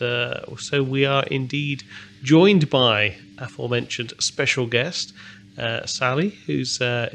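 A man's voice: medium pitch, fairly bright tone, slight background noise. A man hosts a podcast interview, hesitant and filled with uhs as he introduces his guest. He repeats words as he finds his way into each question. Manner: hesitant, many uhs, friendly. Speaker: podcast host. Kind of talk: casual interview talk.

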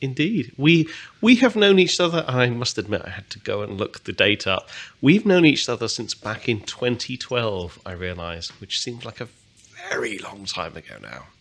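A man's voice: mid-range voice, lively intonation, normal speed, a bit bright. A man hosts a podcast interview, hesitant and filled with uhs as he introduces his guest. He repeats words as he finds his way into each question. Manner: hesitant, many uhs, friendly. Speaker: podcast host. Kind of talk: casual interview talk.

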